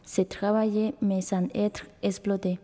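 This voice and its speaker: Very dark, even Bolivian-accented woman. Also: unhurried, big-room sound. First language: Spanish. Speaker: exhibition participant. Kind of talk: scripted statements. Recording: faint rumbling noise.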